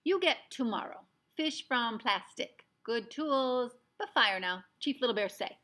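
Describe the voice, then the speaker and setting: A woman's voice: fairly high pitch, lively intonation, measured pace, bright, forceful tone, pitched above the performer's own voice. A woman voicing a proud, demanding miniature man who speaks in short, clipped, broken phrases. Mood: commanding.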